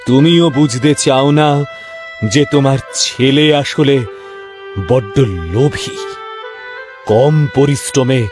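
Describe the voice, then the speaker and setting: A man playing a stern, honest, weary middle-aged father and shopkeeper in a cartoon, speaking gravely and emphatically. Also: medium pitch, natural voice. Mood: disappointed. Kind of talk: cartoon voice acting.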